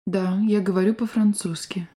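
A woman with a soft, mellow voice reads a language-course drill. She speaks slowly and articulates every word with care, like a studio lesson recording. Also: mid-range voice, steady tone. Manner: slow, clear, carefully articulated.

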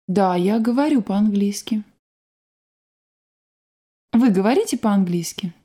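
A woman reading scripted sentences and exercise prompts for a language course in a clear, measured way. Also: medium pitch, expressive, warm tone. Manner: clear, measured, textbook delivery.

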